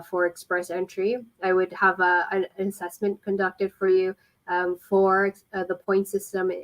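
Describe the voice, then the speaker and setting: Woman, mid-pitched voice, consultant role, informal live advice, explanatory, frequent pauses. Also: rough recording. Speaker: immigration consultant answering viewer questions. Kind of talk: informal live question-and-answer advice, reading questions aloud.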